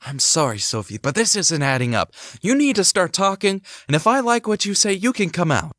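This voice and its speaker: Suspicious, determined young man, lively and dry-sounding acted. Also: high-pitched, fairly quick, no echo. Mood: confrontational. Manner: urgent, confrontational. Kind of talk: audio drama acting.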